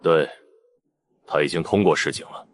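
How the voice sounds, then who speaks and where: Low-voiced, curt male film director played by an actor. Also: normal speed, no echo, clean studio sound. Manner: curt, commanding. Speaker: voice actor in an animated web-fiction drama. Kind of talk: dramatic character dialogue.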